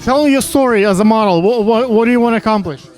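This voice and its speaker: A man from Ukraine interviewing models at a rooftop fashion event, halting and repeating words in a casual way. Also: high voice, a little mellow, faint music behind. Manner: halting, repeats words, non-native phrasing.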